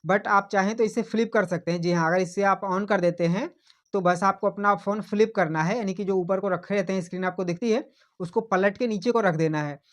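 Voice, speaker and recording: A man's voice: sits high, a little fast. Patient man explaining phone settings. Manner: patient, repeats points and keeps saying "look here". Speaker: tech tutorial host. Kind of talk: step-by-step phone settings walkthrough.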